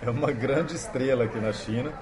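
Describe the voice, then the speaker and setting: A man's voice: mid-range voice, brisk. Brazilian man interviewing, crowd behind. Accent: Brazilian Portuguese. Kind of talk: interview questions.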